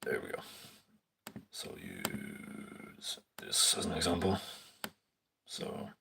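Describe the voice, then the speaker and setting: A young man with a very deep voice, casually walking through a stage plot on screen, rambling with fillers and casual swearing. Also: big pitch swings, takes it slowly, vocal fry, pushed and bright, a little hiss or hum. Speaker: stage tech student. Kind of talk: casual live screen walkthrough. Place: indoors at a screen. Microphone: phone filming a screen.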